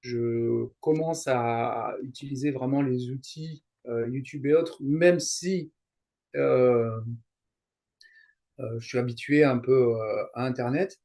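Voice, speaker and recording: A middle-aged man from France chatting as an interview guest, explaining things in a halting way with frequent digressions. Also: medium pitch, fairly even intonation, gravelly, mellow. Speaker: guest, YouTuber and translator. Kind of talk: casual interview chat, explaining.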